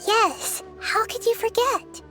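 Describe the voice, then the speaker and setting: A woman voicing a small, young, wise goddess in a video game, soft, girlish, gentle and thoughtful. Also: very high-pitched, slow. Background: game music.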